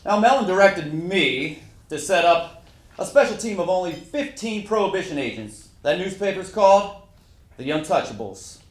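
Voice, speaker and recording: A man's voice: sits high, expressive, moderate pace. A man playing a famous, proud 1930s Prohibition lawman, giving a formal, matter-of-fact recitation of his career. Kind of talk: first-person historical monologue, read aloud. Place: indoor room at a live reading event. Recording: echoey room, live stage reading.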